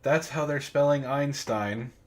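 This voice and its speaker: A man's voice: medium pitch, a little slow. A man reading fan fiction aloud for comedy, mocking it and breaking off for sardonic asides. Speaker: book club host and reader.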